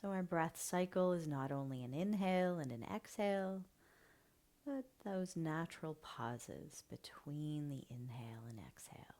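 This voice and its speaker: Slow, soft-spoken woman guiding a meditation. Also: sits low, expressive. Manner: slow, soft and soothing, often half-whispered. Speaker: meditation guide. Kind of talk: guided breathing meditation.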